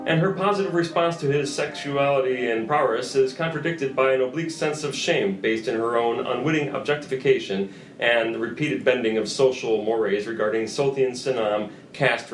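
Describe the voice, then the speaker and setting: A man's voice: mid-range voice, moderate pace. A man in his thirties reading screenplay stage directions as scripted narration. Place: small indoor room at a table. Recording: roomy echo, faint music behind.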